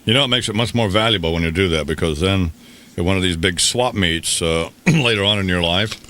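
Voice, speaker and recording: A man's voice: low-pitched, a little fast, pushed and bright. A man hosting a morning radio show with casual talk and a dry, wry delivery. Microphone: close radio studio microphone.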